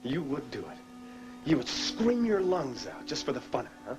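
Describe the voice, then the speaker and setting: A scornful former swindler in a soap opera, his voice fairly high for a man and swinging widely in pitch. Music plays clearly beneath his lines. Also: big pitch swings, normal speed, rough recording. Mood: contemptuous. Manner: contemptuous, clipped, defensive. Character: cold, defensive con man trying to go straight. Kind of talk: daytime soap drama acting.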